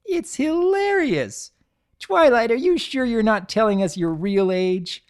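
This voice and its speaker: A woman's voice: on the high side, animated, unhurried, voice pushed up. A woman voicing a cocky young pegasus mare who teases and mocks her friend. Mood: teasing. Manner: teasing, mocking.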